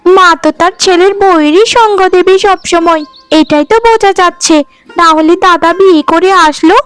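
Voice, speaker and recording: A woman's voice: extremely high pitch, normal speed, fairly bright tone, faint music behind. A woman voicing a spiteful, bossy young sister-in-law in a cartoon, scolding and taunting haughtily. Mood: resentful. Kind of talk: Bengali cartoon dialogue acting.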